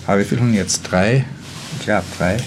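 An actor playing a grumbling, dismissive ship's cook in a naturalistic, muttering, complaining way for a radio play. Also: male voice, on the low side, normal speed, background noise, lightly acted. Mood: chatty, grumbling.

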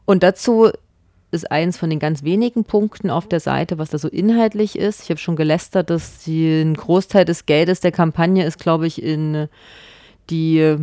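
A woman's voice: fairly low pitch, warm tone, no echo, studio quality. A woman, co-hosting a casual discussion podcast, chatty and questioning. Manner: chatty, questioning, laughs often. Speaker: podcast co-host.

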